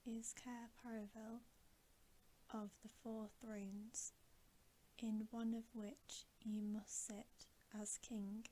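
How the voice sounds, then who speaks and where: Lady reading as a big regal lion king, grave n gentle, soft whisper, really slow, storybook style. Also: on the high side, little pitch movement, slight background noise, rough recording. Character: great royal male lion king, solemn and calm. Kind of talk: bedtime ASMR storybook reading.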